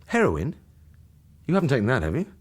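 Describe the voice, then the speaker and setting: Husky, sardonic Englishman. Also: medium pitch, wildly swooping pitch, fairly quick. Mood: concerned. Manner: dry, questioning, exasperated. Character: dry, wary English male friend, sardonic and sensible. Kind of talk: British radio drama acting.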